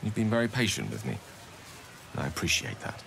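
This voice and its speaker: An actor playing a suave, eloquent, teasing wizard in a video game, with flowery, wry, courtly phrasing. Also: male voice, low voice, very animated, brisk, gravelly, a bit bright, a little hiss or hum, heavily acted. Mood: warm, confiding. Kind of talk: video game character dialogue.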